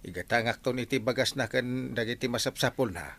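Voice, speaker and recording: A man's voice: medium pitch, fairly quick, fairly bright tone. An actor with an Ilocano accent playing a caring, chatty village man in a comedy drama.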